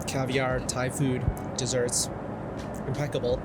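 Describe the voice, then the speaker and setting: A man filming a travel vlog in an airplane cabin, talking straight to his viewers in a relaxed, reflective way. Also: medium pitch, a little slow, very noisy. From Canada. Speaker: travel vlogger. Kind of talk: casual travel vlog narration.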